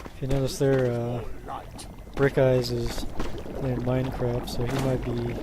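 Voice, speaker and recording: A man casually commenting on his own gameplay in a low-key, tired, nearly monotone way. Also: a little slow, heavy background noise. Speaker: let's play host. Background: loud game music.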